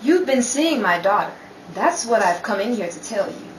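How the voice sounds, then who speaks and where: A woman's voice: medium pitch, expressive, moderate pace, natural voice. A woman playing a proud, wounded society wife and mother, firm and indignant in a dramatic 1930s-style radio play. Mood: indignant resolve. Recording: echoey room, distant mic, faint noise.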